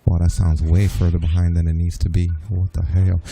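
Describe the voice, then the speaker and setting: A man's voice: low voice, little pitch movement, brisk, gravelly, warm tone, a little hiss or hum. A young man doing casual gameplay commentary on a stream, teasing and self-assured. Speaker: game streamer.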